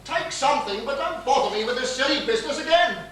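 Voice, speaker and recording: A man's voice: very high voice, lively intonation, quick pace, a bit bright. A man playing a pompous, impatient general in a farcical stage comedy, speaking in curt, dismissive exclamations. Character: pompous, impatient, dismissive married male general, senior official. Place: small theatre stage, far from mic. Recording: distant, very echoey, hissy theatre recording.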